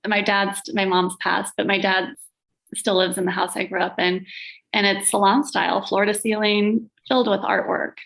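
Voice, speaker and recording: Chatty woman with a gravelly fry. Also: medium pitch, little pitch movement, normal speed, a bit bright, poor audio. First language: Latvian. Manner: chatty, laughs often, self-deprecating asides. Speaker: gallery owner and curator, podcast guest. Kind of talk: casual interview chat.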